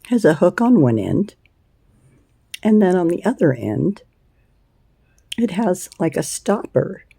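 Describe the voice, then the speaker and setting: A woman with a scratchy voice hosting a casual crochet tutorial, chatty and unhurried, with plenty of ums and uhs. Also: on the low side, sing-song, vocal fry, warm tone, breathy edge, no echo. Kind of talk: casual hands-on craft tutorial.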